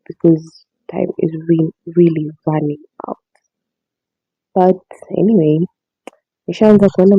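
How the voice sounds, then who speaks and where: A young Kenyan woman hosting her diary podcast, talking casually in a raspy voice because she has just woken up, soft-spoken and rambling with frequent laughs. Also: on the low side, no room sound, lo-fi sound. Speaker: podcast host. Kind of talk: casual diary-style monologue.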